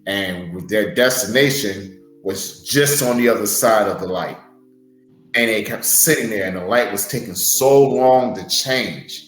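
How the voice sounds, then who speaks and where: A man's voice: fairly low pitch, measured pace, roomy sound, soft background music, low-quality recording. A man who wrestles, co-hosting a podcast and telling a motivational story in an earnest, heartfelt way. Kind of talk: casual podcast chat.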